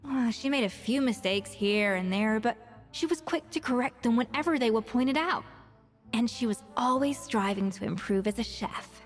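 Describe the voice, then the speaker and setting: A woman's voice: fairly high pitch, animated, close to an everyday voice. Woman voicing a proud master chef. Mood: wistful. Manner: self-assured, measured, turns wistful when alone. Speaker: head chef. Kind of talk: video game dialogue. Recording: reverberant game audio with faint music.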